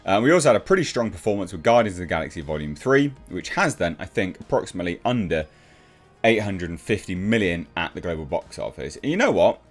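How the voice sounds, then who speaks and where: A man's voice: low voice, big pitch swings, fast talker, soft background music. A British man giving casual, opinionated news commentary in a blunt, sarcastic, informal way. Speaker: YouTube commentator.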